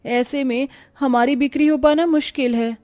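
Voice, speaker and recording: A woman's voice: sits high, steady tone, normal speed, darkish tone, voice pushed up, close to an everyday voice. A woman voicing a hopeful, persuasive young sister-in-law in a cartoon story, earnest and upbeat. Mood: resigned. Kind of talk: cartoon story character dialogue.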